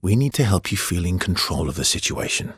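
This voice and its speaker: An actor playing a brooding, gently teasing, guilt-worn man in a video game, with a husky, gravelly low voice and halting, understated delivery. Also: very animated, no echo, exaggerated voice. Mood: calm, reassuring. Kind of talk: naturalistic video game character dialogue.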